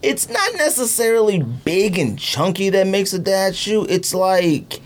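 A man chatting casually in slangy, informal speech, quick to push back. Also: high voice, big pitch swings. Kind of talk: casual sneaker talk among friends.

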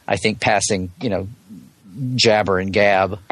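A man's voice: low-pitched, little pitch movement, measured pace, a bit bright, no echo. A man speaking as a podcast guest in a casual, rambling chat about sci-fi, wry and jokey in his delivery. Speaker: podcast guest, Star Trek commentator.

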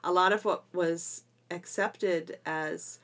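A woman hosting a casual educational podcast, chatting in an animated way and quick to laugh. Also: lowish voice. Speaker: podcast host and homeschool teacher.

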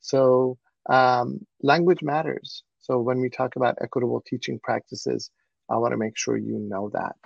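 Male presenter, Persian first language, gravelly edge, muffled lo-fi video call audio, earnest with many fillers. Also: mid-range voice, fairly even intonation, normal speed, dry recording. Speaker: presenter, mathematics educator. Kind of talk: webinar presentation.

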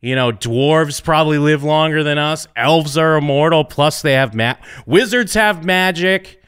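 A man pitching story ideas in a casual chat, animated and halting with many fillers. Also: clean tone, no room sound, studio quality. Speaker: co-writer brainstorming a story. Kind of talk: casual creative brainstorming chat.

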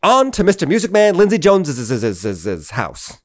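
A playful, excitable host in an audio show who makes his own sound effects. His pitch leaps wildly and sits a little high, recorded dry and close. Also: unhurried, dry recording. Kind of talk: audio drama acting.

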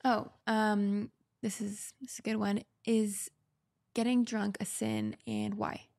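A woman's voice: mid-range voice, level tone, slow, creaky, darkish tone. A young American woman co-hosting a podcast, casually asking questions in an inquisitive, slightly hesitant way. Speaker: podcast co-host. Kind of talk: casual question and answer chat.

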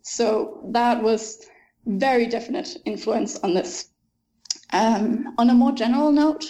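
A woman's voice: on the high side, warm tone, rough recording. A young woman, an author answering interview questions thoughtfully and enthusiastically, with frequent ums.

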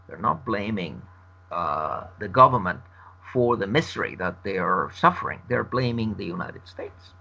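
A man whose first language is Spanish gives a long interview answer, arguing in a measured, emphatic way. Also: low-pitched, animated, vocal fry, sharp tone, slightly rough. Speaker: interview guest. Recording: dull lo-fi recording.

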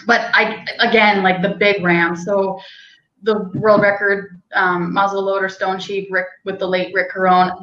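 A woman's voice: medium pitch, a bit bright, reverberant, lo-fi sound. A young woman, a hunting guide and outfitter, telling stories in a casual, rambling way with lots of "like" and light laughter. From Canada. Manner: chatty, rambling, with frequent likes and laughs. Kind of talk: casual interview storytelling.

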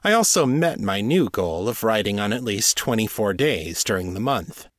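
A man's voice: fairly low pitch, big pitch swings, normal speed, dry recording. A man hosting a solo podcast, reading a scripted update in an earnest, articulate way, close on the microphone. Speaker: podcast host and fiction author.

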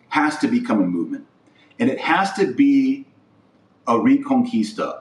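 Low-voiced man in a roomy, distant recording. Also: darkish tone. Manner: emphatic, deliberate, repeats phrases for weight. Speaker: podcast host and speaker. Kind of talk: political-religious monologue to an audience. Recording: roomy echo, distant microphone.

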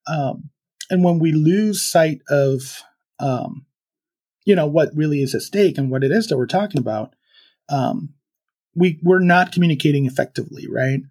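A man from Venezuela speaking as a podcast guest, thoughtful and halting, with many fillers and restarts. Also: on the high side, darkish tone. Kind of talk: reflective conversational interview.

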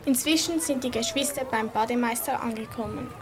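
A woman's voice: a little high, a little fast, slight background noise. A female narrator reading scripted story narration for a children's radio play.

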